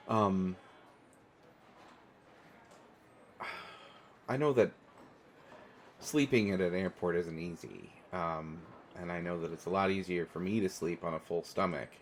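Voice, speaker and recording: A man's voice: deep voice, slight background noise. A man playing a shy, awkward, kind stranded traveler in an audio roleplay, hesitant, stammering and apologetic. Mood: nervous, apologetic.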